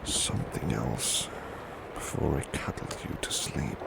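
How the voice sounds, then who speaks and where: Man acting tender sleepy servant, ASMR roleplay, half-whispered murmur, extremely low, heavy vocal fry, loud background noise. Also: expressive, a little slow, heavily acted. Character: devoted, tender male servant cuddling his beloved. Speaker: ASMR voice actor.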